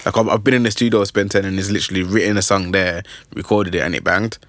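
A young man with a low voice talks music on a podcast. He rambles and restarts with lively energy. The sound is dry and close, with no room around it. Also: no room sound. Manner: rambling, animated, quick restarts. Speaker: podcast host. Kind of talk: casual music chat.

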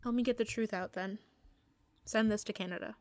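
An actress playing a defiant, impulsive young documentary filmmaker in a radio play, with a direct, insistent manner. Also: female voice, mid-range voice, steady tone, a little fast, darkish tone, close to an everyday voice.

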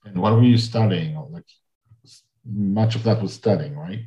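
A man with a fairly low, mellow voice interviews a guest. He asks halting questions and acknowledges her answers briefly. The sound is muffled and echoey. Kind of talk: casual interview conversation. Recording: muffled lo-fi audio in an echoey room.

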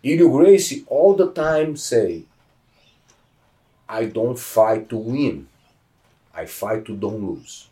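A middle-aged Brazilian man speaking English with a heavy Portuguese accent, telling stories in an emphatic, animated way. His pitch climbs sharply when he stresses a point. He sounds a little distant from the mic. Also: slow, long pauses. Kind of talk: casual interview.

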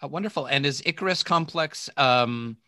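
A man hosting a podcast over a video call, enthusiastic and halting, with many fillers. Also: mid-range voice, sharp tone, no room sound, studio quality. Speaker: podcast host. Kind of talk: casual video call chat.

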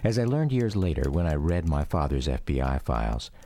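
Middle-aged man, American accent, low register, expressive range, scripted narration, music backing. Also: fairly quick. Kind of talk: scripted documentary drama narration.

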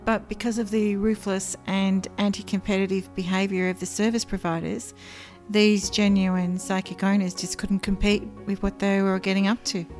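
A woman's voice: medium pitch, level tone, a little mellow, soft background music. Earnest woman recalling her work. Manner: earnest, measured, with frequent ums. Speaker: former psychic line worker, guest. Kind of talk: interview, personal recollection.

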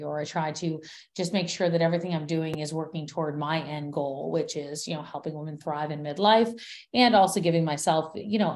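A chatty woman in her fifties, speaking as an interview guest in a fairly low voice. She talks in an animated way, often restarting her sentences. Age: 50s. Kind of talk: casual interview chat.

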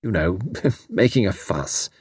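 A man's voice: fairly high pitch, expressive, a little fast, no room sound, pitched above the performer's own voice. A man doing a flustered, gushing lady. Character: flustered, apologetic middle-aged woman.

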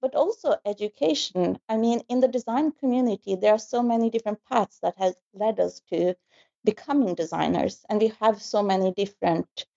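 Mellow, slightly creaky middle-aged woman. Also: mid-range voice, fairly quick, highs cut off. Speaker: panel guest, design director. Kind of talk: self-introduction on a panel.

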